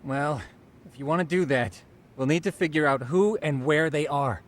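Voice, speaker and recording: A man's voice: normal speed, natural voice. An actor playing a brainy, fussy male investigator in a game dub, articulate and matter-of-fact. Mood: analytical. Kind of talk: dubbed video game cutscene acting. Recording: rumbling noise behind the voice.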